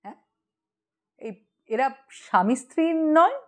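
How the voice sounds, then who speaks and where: A woman's voice: high-pitched, big pitch swings, takes it slowly, full character voice. A curious, puzzled character voiced by a woman narrator in a humorous audiobook. The delivery is questioning and surprised, in everyday conversational dialogue. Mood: puzzled surprise.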